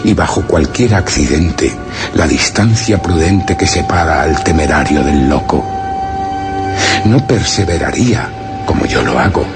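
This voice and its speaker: An actor from playing an anguished, secretive explorer in a suspense radio drama, narrating in grave, formal, literary language. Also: male voice, sits low. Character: anguished male explorer hiding among a foreign people, solemn and secretive.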